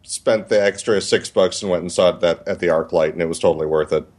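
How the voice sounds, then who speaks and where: A man co-hosts a podcast in relaxed conversation. His voice sits somewhat low, and he speaks thoughtfully, often pausing and restarting his sentences. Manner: hesitant, many restarts, thoughtful. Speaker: podcast co-host. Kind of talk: casual podcast chat.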